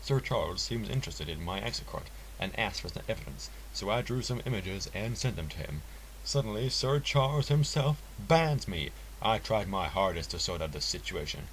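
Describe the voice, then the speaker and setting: A man with a notably low voice narrates a first-person story from a script. His delivery is earnest and theatrical. A light hiss sits under the recording. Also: animated, normal speed, fairly bright tone. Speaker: narrator reading a story aloud. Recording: hissy, clearly noisy home recording.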